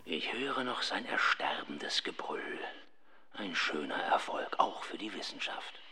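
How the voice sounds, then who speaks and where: A man playing a pompous, self-satisfied professor of ghost research in a children's radio play, husky and halting as he savors his own account. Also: low voice, animated, sharp tone, exaggerated voice. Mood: proudly reminiscing.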